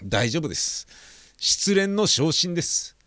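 A man's voice: a little high, sing-song, a little slow, sharp tone, dry recording, studio quality, full character voice. An actor playing a polite, apologetic middle-aged father in an audio drama, speaking candidly and a little hesitantly. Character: apologetic, candid, protective father of a grown daughter. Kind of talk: Japanese audio drama acting.

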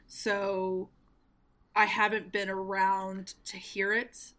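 A woman's voice: mid-range voice, level tone, slow pace, a bit bright. A young woman from Arkansas with a faint, mostly neutral American accent, sarcastically reading a novel aloud and swearing freely in casual podcast chat. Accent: faint General American with little Southern trace. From Arkansas, US South.

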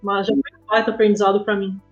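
A woman's voice: mid-range voice, level tone, fast talker, lo-fi sound, music in the background. A woman with a Brazilian accent speaking casually as a guest in a podcast interview, often checking for agreement with tag questions. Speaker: guest, comic artist and game writer.

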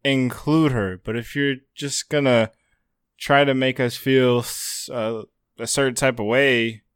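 A man's voice: medium pitch, fairly even intonation, measured pace, clear, resonant tone, no room sound, clean studio sound. A man co-hosting a casual discussion about films in everyday, conversational language. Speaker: film podcast co-host.

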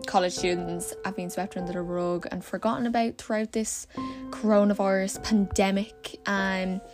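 An eighteen-year-old woman student co-hosting a podcast, talking in a chatty, casual way. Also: mid-range voice. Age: young adult.

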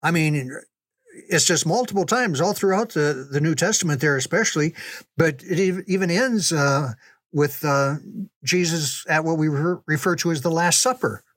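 A man's voice: fairly high pitch, normal speed, a bit bright, dry recording. Folksy man telling stories, dry close sound. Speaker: storyteller recalling ministry memories. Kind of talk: casual reminiscing storytelling.

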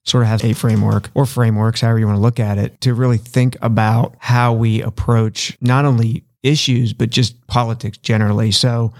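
A man's voice: mid-range voice, level tone, a little fast, hardly any pauses, a little mellow. Earnest man in casual podcast talk. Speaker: podcast co-host. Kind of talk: casual discussion.

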